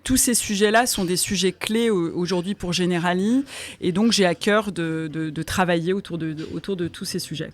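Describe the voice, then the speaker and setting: A woman's voice: lowish voice, normal speed. A woman answering as an interview guest, in an articulate, explanatory way. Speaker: interview guest, foundation president.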